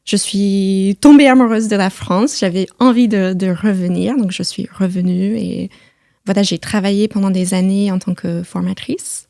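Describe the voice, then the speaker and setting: A middle-aged woman with an American accent chats casually on a podcast. Her mid-pitched voice has noticeable creak, and it is captured close and dry. She often repeats words as she looks for the next phrase. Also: steady tone, moderate pace, mellow, no echo. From United States (Missouri). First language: English. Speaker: podcast host.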